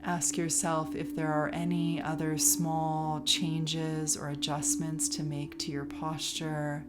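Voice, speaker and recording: A woman leading a guided relaxation as a yoga teacher, calm and soothing, leaving long pauses between phrases. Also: low voice. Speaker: yoga nidra instructor. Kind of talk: guided meditation, relaxation instruction. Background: music behind the voice.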